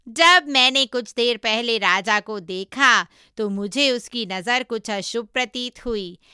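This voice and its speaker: A woman's voice: a little high, sharp tone, clear, resonant tone, dry recording, studio quality, heavily acted. A woman voicing a clever, cheeky male monkey disciple in a storybook tale, speaking emphatically and cajolingly.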